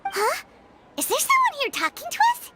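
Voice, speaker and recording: A woman voicing a small, childlike, chatty fairy companion in a video game, squeaky and exclamatory, with noise over the recording. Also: huge pitch swings, normal speed, full character voice. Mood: startled, puzzled. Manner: refers to self in third person, exclamatory.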